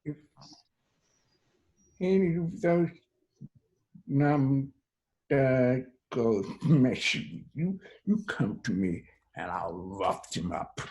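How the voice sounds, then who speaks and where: Slow-talking man acting a protective band member. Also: medium pitch, expressive, a little mellow, low-quality recording. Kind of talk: screenplay table read acting.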